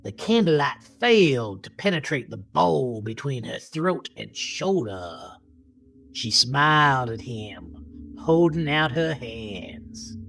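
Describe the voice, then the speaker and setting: Very low-voiced woman narrating horror, theatrical. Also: sing-song, slow, vocal fry, a bit bright. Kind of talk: dramatic scripted horror narration. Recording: music bed under the narration.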